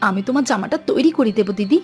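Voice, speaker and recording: A woman's voice: fairly high pitch, lively intonation, rapid-fire, soft background music, lightly acted. A woman playing a harried, quarrelsome village seamstress wife in a cartoon. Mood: pleading. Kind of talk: animated cartoon voice acting.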